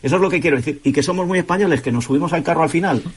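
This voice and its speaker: A man's voice: on the high side, racing, husky. A man on a sports radio panel, insistent and repeating his points.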